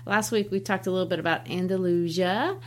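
A woman's voice: fairly low pitch, fairly even intonation, a little fast, slight background noise. A woman who teaches and co-hosts a book podcast, talking in a chatty way and laughing easily. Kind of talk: casual book discussion.

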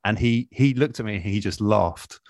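Deep-voiced man telling anecdotes casually. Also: darkish tone, no room sound, clean studio sound. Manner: halting, informal, self-deprecating. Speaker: radio producer and interview guest.

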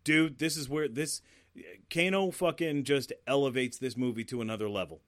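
A man in a relaxed film review chat, talking bluntly and swearing freely. His voice sits at an ordinary middle pitch, recorded dry and close with no room sound. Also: moderate pace, no room sound. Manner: blunt, swears a lot, laughs often. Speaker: movie review podcast co-host. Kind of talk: casual profane chat.

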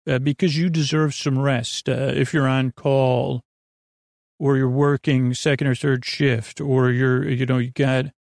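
A man's voice: medium pitch, vocal fry, a little mellow, no echo, studio quality. A man hosting a bedtime podcast, talking in a meandering, hesitant, soothing way that keeps drifting into digressions. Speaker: podcast host. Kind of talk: rambling bedtime story podcast monologue.

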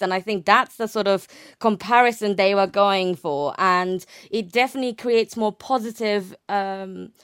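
Clear-voiced young woman, close and dry. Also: mid-range voice, no room sound. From Japan. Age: young adult. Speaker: academic guest, naval history researcher. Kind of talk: conversational interview explanation.

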